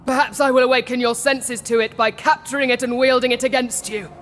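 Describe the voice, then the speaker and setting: An actress playing a righteous, defiant warrior commander in a video game cutscene, solemn and declamatory. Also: female voice, on the high side, fairly even intonation, brisk, a bit bright, long reverb tail, slight background noise, natural voice.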